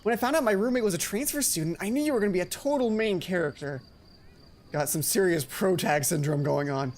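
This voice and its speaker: A woman voicing an excitable, chatty, teasing teenage schoolgirl roommate in a visual novel game. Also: on the low side, a little fast, slight background noise, close to an everyday voice. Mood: excited. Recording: music playing behind voice.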